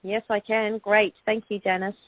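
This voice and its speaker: A woman's voice: medium pitch, little pitch movement, normal speed, a bit bright, no room sound. An Australian woman hosting a team phone call, upbeat and enthusiastic. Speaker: call host.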